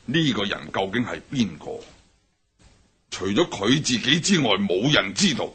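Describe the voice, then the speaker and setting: An actor playing a stern older triad boss in a radio drama, speaking with a Hong Kong Cantonese accent and a raspy, commanding manner. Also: male voice, fairly low pitch, very animated, measured pace, fairly bright tone, full character voice. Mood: grave. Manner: commanding, barks orders. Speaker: gang leader.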